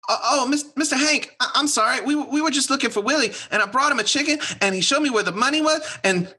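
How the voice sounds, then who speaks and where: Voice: high voice, fast, pushed and bright, breathy edge, exaggerated voice. An actor playing an eager, earnest, folksy country boy in a radio play. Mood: nervous. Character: eager, tender country boy, devoted to his pet.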